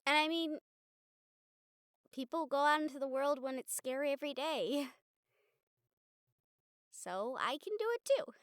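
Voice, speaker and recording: Woman acting as sweet college girl medium, high-pitched, chatty and earnest, halting delivery, audio roleplay, very dry sound. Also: lively intonation, no echo. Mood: earnest, hopeful. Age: young adult. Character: excitable, sweet young college girl, a medium befriending a ghost. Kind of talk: intimate audio roleplay addressed to the listener.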